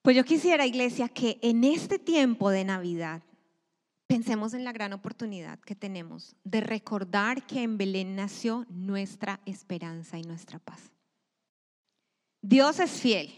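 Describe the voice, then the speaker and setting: A woman preaching a sermon to a church congregation. She speaks emphatically, swelling loud and then dropping to a near-whisper. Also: mid-range voice, lively intonation, a little slow. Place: church sanctuary. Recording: long hall reverb.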